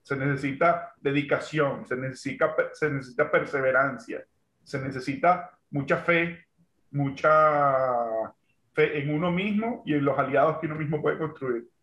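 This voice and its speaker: Man, guest entrepreneur, slightly high voice, reflective, lots of filler words, echoey room, dull lo-fi audio. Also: normal speed, a bit bright. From Venezuela. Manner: thoughtful, full of fillers like "este" and "digamos, ¿no?". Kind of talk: remote interview. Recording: roomy, dull lo-fi sound.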